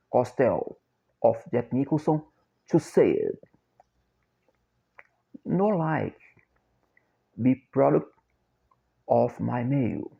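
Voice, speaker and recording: A middle-aged Brazilian man with a Portuguese accent, speaking English slowly and haltingly in a repetitive, chant-like monologue. He repeats short phrases over and over like a mantra. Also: mid-range voice, vocal fry, dark tone, husky. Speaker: self-described copywriter and philosopher. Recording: dull lo-fi recording.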